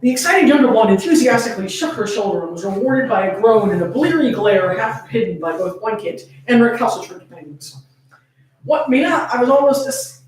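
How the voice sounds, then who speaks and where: A playful, easily amused woman co-hosting a fan fiction reading, shifting between dramatic narration and casual chat. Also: medium pitch. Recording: very echoey room, distant from mic.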